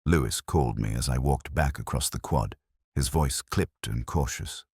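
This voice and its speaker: A man with a gravelly, husky voice reads a scripted first-person detective story in a measured, brooding, literary way. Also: a bass voice, darkish tone, dry recording, clean studio sound.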